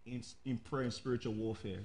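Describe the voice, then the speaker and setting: Liberian guy, middle-aged preacher, introducing himself on a church panel, mid pitch. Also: fairly even intonation, fairly quick, a little mellow. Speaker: apostle, talk-show guest. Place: indoor TV studio, seated.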